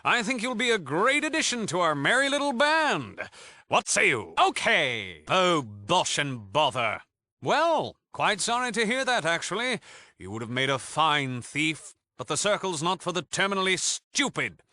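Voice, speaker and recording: A man's voice: high-pitched, sing-song, measured pace, sharp tone, dry recording, heavily acted. A man with a British accent playing a cocky, mock-polite thief gang leader in a video game, theatrical and sarcastic.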